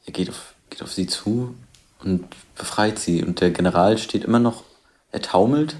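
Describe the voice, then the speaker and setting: A man's voice: fairly low pitch, normal speed, a little mellow. A man informally describing a scene as it unfolds, hesitantly piecing the story together. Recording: music behind the voice.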